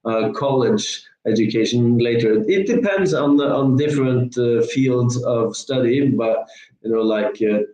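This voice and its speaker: A man's voice: medium pitch, darkish tone, roomy sound, poor audio. A man from Norway, a guest on an online interview, explaining at length with many fillers and restarts. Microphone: video call.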